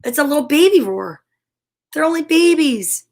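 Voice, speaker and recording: A woman's voice: high voice, expressive, normal speed. A woman hosting a story time, reading a children's tale dramatically with comic asides in a theatrical manner. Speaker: host and storyteller.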